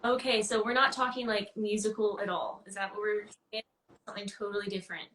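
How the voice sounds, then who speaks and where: A woman's voice: mid-range voice, little pitch movement, fast, fairly bright tone, a little hiss or hum. Woman guest chatting in an echoey room. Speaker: guest musician being interviewed. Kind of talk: casual chat. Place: indoor room on a livestream call. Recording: roomy, echoing livestream call.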